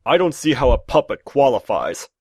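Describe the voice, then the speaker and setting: A man's voice: medium pitch, big pitch swings, moderate pace, darkish tone, breathy edge, no room sound. A man voicing a sarcastic, exasperated fighter and party leader in a comic cartoon fandub. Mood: exasperated, dry. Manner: sardonic. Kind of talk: animated comic fandub acting.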